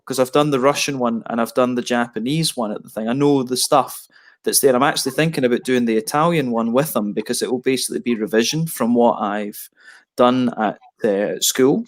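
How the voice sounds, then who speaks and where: Man in his thirties, casual chat, rambling restarts, headset on a video call, everyday mid voice. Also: mid-range voice. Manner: rambling, many restarts and fillers. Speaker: beer reviewer on a video hangout.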